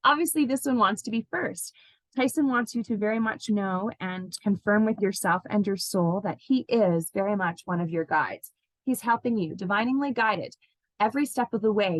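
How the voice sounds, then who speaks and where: A woman giving a spiritual card reading as an intuitive healer, speaking in gentle, reassuring, halting phrases. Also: mid-range voice, no echo, rough recording. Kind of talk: spiritual card reading addressed to named listeners.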